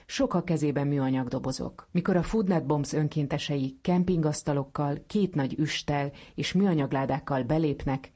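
A woman's voice: deep voice, few pauses, dark tone. A woman reading scripted narration for a radio feature. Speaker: programme narrator.

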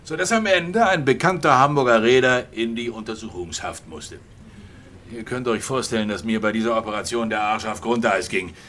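A male police chief played by an actor in a radio crime drama, with a bright, forceful voice of medium pitch. He speaks in a businesslike, wry and plain-spoken way, addressing his team. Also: moderate pace, a little hiss or hum, lightly acted. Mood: wry relief.

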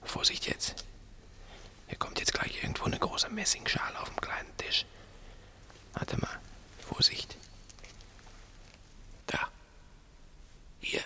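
An actor playing a gruff, impatient crook in a 1960s radio play, terse and commanding in a hushed voice. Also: male voice, slow, long pauses, whispered. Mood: tense whisper. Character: gruff, impatient male crook leading a break-in. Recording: 1960s radio recording, music behind.

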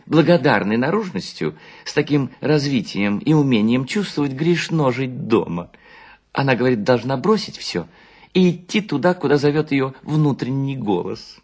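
A male narrator acts out a gullible, chatty husband from a literary tale. The voice is husky and lifted high, gossiping with animated, scoffing mockery. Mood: amused scoffing. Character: chatty, gullible husband mockingly quoting his wife's admirer.

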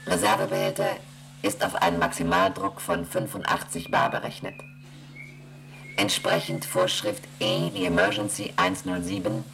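A deep, gravelly ship computer. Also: male voice, rough recording. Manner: flat, mechanical, reports numbers and rules. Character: emotionless onboard computer, male, reciting readings. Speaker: ship's computer. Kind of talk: radio play acting.

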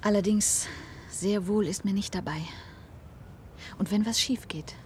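An actress playing a young institute patient in a radio drama, anxious and hesitant, with a breathy voice. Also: female voice, mid-range voice, unhurried, a little mellow, a little hiss or hum, lightly acted. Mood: hesitant, uneasy. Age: young adult. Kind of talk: German radio play acting.

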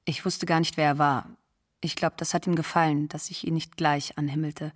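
A woman's voice: deep voice, little pitch movement, moderate pace, dry recording, studio quality. An actress playing a star's disillusioned former girlfriend in a radio drama, telling it in a reflective, matter-of-fact way. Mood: resigned, firm.

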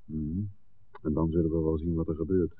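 A man's voice: a bass voice, level tone, fairly quick. An actor playing an easygoing, teasing young man in a 1960s Dutch radio drama. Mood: casual. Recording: muffled old radio, loud background noise.

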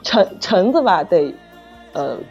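A middle-aged woman author chatting casually about writing, halting and repeating phrases as she searches for words. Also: on the low side, sing-song, a little mellow, breathy edge. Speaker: novel author. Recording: dull lo-fi audio, music underneath. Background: background music.

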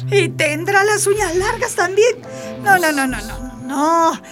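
Shrill-high, haughty boss lady. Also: expressive, measured pace. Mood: scornful. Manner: scornful, exclamatory. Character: haughty, dismissive, prejudiced female restaurant boss. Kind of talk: radio soap acting. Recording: music bed under the voice.

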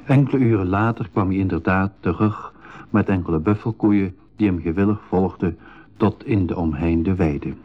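An actor playing a composed storyteller, narrating an adventure in measured fashion for a 1980s school radio drama. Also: male voice, sits low. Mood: composed storytelling. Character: man recounting his own past adventure, composed storyteller. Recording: dull 1980s radio recording.

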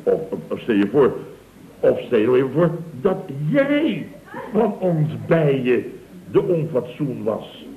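A man's voice: medium pitch, big pitch swings, slow, a little hiss or hum. A wheedling contractor boss from a 1960s radio comedy, speaking Netherlands Dutch. His voice swoops expressively as he blusters, hints and feigns innocence, repeating himself. The old recording is muffled and roomy. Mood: feigned jollity. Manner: blustering, insinuating, mock-innocent, stammering repetitions. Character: wheedling, scheming male building contractor boss.

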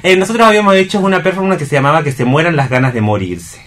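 A man's voice: on the high side, expressive, racing, fairly bright tone. Argentine man explaining ideas in casual discussion. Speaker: theatre performer, discussion guest.

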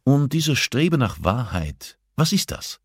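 Audiobook role: gaunt, composed male professor, read by a man, superior and probing, deliberate, very dry sound. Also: medium pitch, very animated, slightly rough, dry recording, voice pushed up, heavily acted. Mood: calm probing.

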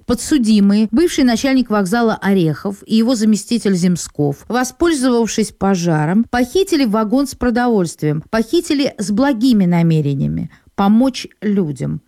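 A woman radio presenter delivering a scripted introduction in a formal, measured, earnest manner. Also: mid-range voice, warm tone, dry recording, clean studio sound.

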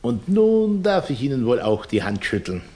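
An actor playing a paternal, self-important revolutionary leader in a 1960s German radio play, unctuous and commanding. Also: male voice, mid-range voice, very animated, normal speed, a little mellow, breathy edge. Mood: patronising.

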